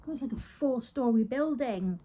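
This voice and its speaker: British female vlogger, fairly high and mellow voice, rambling casual self-talk, playful delivery. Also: expressive, moderate pace, slight background noise. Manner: chatty, playful, talks and mutters to self. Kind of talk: casual rambling chat to camera while wrapping gifts. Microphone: phone.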